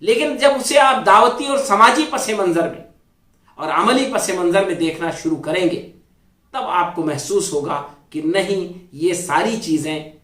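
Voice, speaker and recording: A man lectures on religion from some distance off the microphone in an echoey room. His delivery is deliberate and explanatory, with an orator's pauses and repeated phrases. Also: sits high, fairly bright tone. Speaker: Islamic scholar giving a religious talk. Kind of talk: religious lecture in formal Urdu. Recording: roomy echo, distant microphone.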